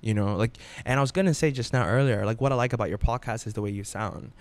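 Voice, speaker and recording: A man working as a radio DJ, chatting as a podcast guest in an animated, expressive way. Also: mid-range voice, fast talker, no room sound. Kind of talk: casual podcast interview.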